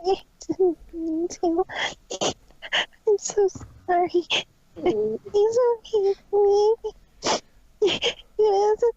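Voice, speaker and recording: A woman's voice: very high-pitched, flat delivery, very slow, vocal fry, pauses often, warm tone, highs cut off, pitched above the performer's own voice, full character voice. A woman voicing a small, remorseful filly in a story reading, crying and pleading. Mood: tearful pleading.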